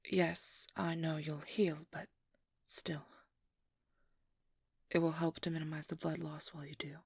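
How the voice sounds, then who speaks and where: A woman playing a determined, exasperated vampire hunter with a guarded caring side, speaking softly and often in whispers in an intimate ASMR audio roleplay. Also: sits low, level tone, measured pace, no echo, clean studio sound, heavily acted. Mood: matter-of-fact, caring. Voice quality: soft, breathy, often whispered.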